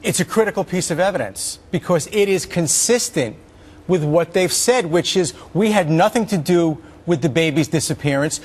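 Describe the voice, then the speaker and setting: A man with a high-pitched voice debating as a defense attorney. He is insistent and argumentative, hammering points through repetition. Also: normal speed, slightly rough. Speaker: criminal defense attorney, panelist. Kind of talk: live TV legal debate.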